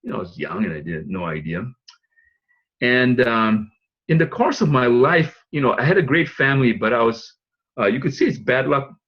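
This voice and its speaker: A man's voice: mid-range voice, lively intonation, moderate pace, lo-fi sound. A man who grew up in Singapore, presenting a business opportunity to an audience in a loose, rambling way with frequent fillers and light chuckles. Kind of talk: webinar pitch talk.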